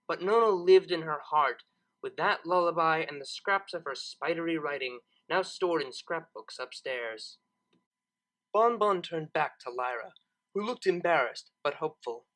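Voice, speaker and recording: Lively, bright-voiced man reading a story. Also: a little high, rough recording. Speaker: narrator reading fan fiction, channel host. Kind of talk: expressive storybook narration.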